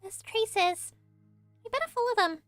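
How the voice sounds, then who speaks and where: A woman voicing a small, excitable fairy companion from a game, childlike and exclamatory. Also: extremely high pitch, measured pace, dry recording, faint music behind, pitched above the performer's own voice, full character voice. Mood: anxious, excited. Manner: speaks of self in third person, exclamatory. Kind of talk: fan voice-over of game dialogue.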